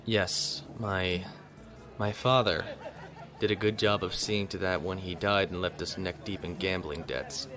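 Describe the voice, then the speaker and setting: A man acting a composed young noble naval lieutenant in a science fiction audio drama, formal and measured, coolly defiant. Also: deep voice, fairly even intonation, crowd noise. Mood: composed, dry.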